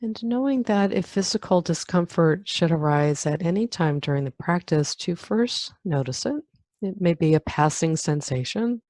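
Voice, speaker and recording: A woman leading a guided meditation in a calm, unhurried manner, leaving long pauses between phrases. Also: lowish voice, dry recording, clean studio sound. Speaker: meditation guide, yoga nidra teacher. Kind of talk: guided meditation, body scan.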